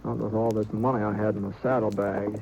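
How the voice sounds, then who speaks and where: An actor playing an easygoing American cowboy gunfighter in a 1950s radio western, with a folksy, halting way of talking. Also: male voice, fairly low pitch, level tone, natural voice. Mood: storytelling. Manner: folksy, halting, repeats himself. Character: easygoing, good-natured drifting cowboy gunfighter narrating his tale. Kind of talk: 1950s radio western acting and first-person narration. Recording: noisy old radio drama recording.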